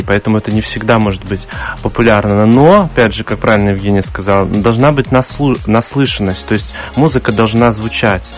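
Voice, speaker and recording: A man with a fairly low baritone voice speaks as an interview guest. He explains thoughtfully and conversationally, adding qualifiers as he goes, with faint music behind. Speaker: interview guest, classical singer.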